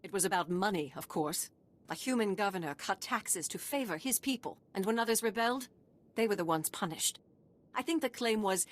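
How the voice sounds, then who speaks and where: A woman's voice: mid-range voice, natural voice. An actress playing a proud, wronged female alien refugee leader in a video game, speaking formally and solemnly. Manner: formal, solemn, impassioned pleading. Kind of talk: scripted video game dialogue.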